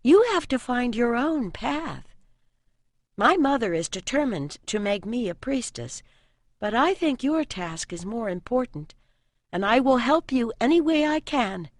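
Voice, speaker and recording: A woman voicing an earnest, loyal young girl who gently reproves, in a fantasy audiobook. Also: a little high, measured pace, no echo, studio quality, voice pushed up. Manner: steady, reproving, sincere.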